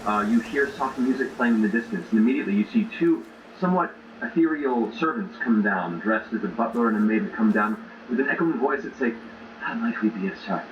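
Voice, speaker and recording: A man's voice: medium pitch, animated, brisk, few pauses, dull audio. A man narrating a tabletop game as game master, describing scenes to the players. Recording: roomy distant mics with noisy background audio.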